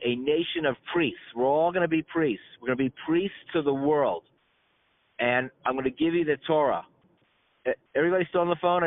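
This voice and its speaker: A man's voice: fairly high pitch, no room sound. Man teaching over a tinny phone line. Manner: conversational storytelling, stammering repetitions, colloquial asides. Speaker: rabbi teaching a class. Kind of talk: informal religious teaching by conference call. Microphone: telephone conference call.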